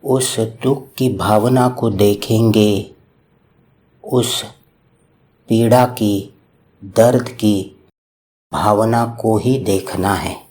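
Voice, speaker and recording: A man leading a guided meditation with slow, calm, soothing instructions and long pauses. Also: on the low side.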